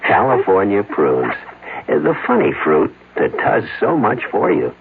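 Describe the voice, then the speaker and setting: A man's voice: lowish voice, wildly swooping pitch, measured pace, gravelly, slight background noise, exaggerated voice. An American man playing an affable supermarket shopper in a radio commercial, conversational and good-natured. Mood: wry, persuasive. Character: affable male supermarket shopper pitching prunes. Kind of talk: 1970s radio commercial acting. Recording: dull lo-fi radio broadcast.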